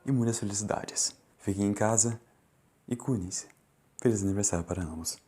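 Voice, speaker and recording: A Brazilian man narrating a scripted fan audiobook in a solemn, deliberate, dramatic way. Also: lowish voice, dark tone.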